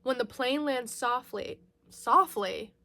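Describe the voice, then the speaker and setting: A woman's voice: a little high, slow, pushed and bright. A woman chatting casually as a book vlogger, giggly and self-correcting, slightly nasal from a lingering mild cold. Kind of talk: casual chatty book haul, reading blurbs aloud.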